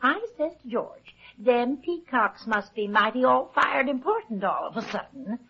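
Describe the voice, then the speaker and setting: High, fussy, flustered country farmwife. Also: female voice, expressive, normal speed, gravelly, slightly rough, heavily acted. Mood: flustered, indignant. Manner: flustered, folksy, rambling. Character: fussy, scandalized country farmer's wife, talkative. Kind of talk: 1950s radio detective drama acting. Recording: muffled vintage 1950s radio recording.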